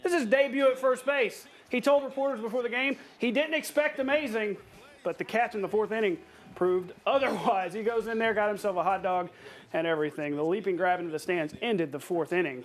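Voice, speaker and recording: A man anchoring a television sportscast in a playful, conversational way, reading highlights and bantering with a co-host. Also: fairly quick. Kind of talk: upbeat scripted sportscast narration with banter.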